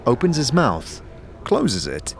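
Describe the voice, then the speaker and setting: A man's voice: medium pitch, wildly swooping pitch, takes it slowly, gravelly, faint music behind. A man reading scripted second-person fantasy narration as the storyteller of an audio drama. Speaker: narrator and protagonist's voice.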